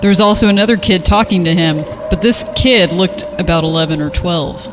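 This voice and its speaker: A person reading a story aloud on a comedy podcast and dropping in deadpan joking asides. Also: mid-range voice, brisk, noisy background. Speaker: podcast reader and commentator. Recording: music behind the voice.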